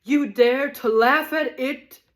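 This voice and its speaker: A woman's voice: on the high side, measured pace, pitched above the performer's own voice, lightly acted. A woman voicing a pompous, self-pitying male ghost who acts like a stage tragedian, declaiming bitterly. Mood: indignant.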